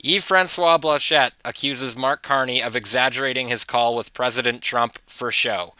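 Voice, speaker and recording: A man's voice: mid-range voice, moderate pace, pushed and bright, smooth, no echo. A man hosting a political commentary show, talking to viewers in an informal, mocking way with chuckling asides. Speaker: host of political commentary channel. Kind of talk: casual opinion commentary to camera.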